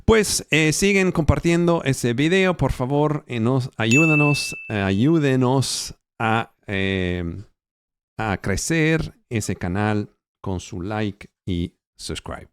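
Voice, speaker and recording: A male pastor preaching a Bible teaching in Spanish, which is not his first language. He speaks slowly and haltingly, with fillers and self-corrections. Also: medium pitch, expressive, smooth, no room sound. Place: church, recorded for an online channel.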